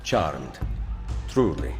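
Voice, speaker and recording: An actor with an Italian accent playing a composed, shrewd assassin leader in a video game cutscene. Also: male voice, rumbling low, very animated, drawn-out words, gravelly, music in the background, full character voice. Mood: dry, courteous.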